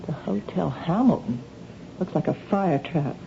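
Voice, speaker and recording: A woman's voice: on the low side, lively intonation, a little slow, vocal fry. An actress playing an anxious, wary married woman under blackmail in a radio drama, speaking hesitantly and defensively. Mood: wary, probing. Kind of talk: 1970s radio drama acting. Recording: dull, noisy old radio drama recording.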